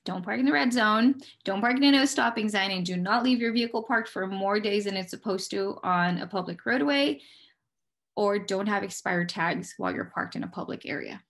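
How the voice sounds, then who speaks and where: A woman's voice: medium pitch, moderate pace, vocal fry, a bit bright. An American woman with a mid-range voice speaks as an interview guest. She explains her working life in a candid, conversational way, often setting up a question and answering it herself. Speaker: police dispatcher, interview guest.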